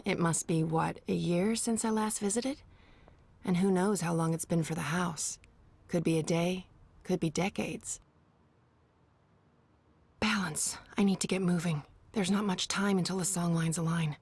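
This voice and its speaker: An actress playing a brisk young woman who mutters to herself while gathering potion ingredients. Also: on the low side, steady tone, darkish tone, breathy edge. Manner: muttering to herself as she works.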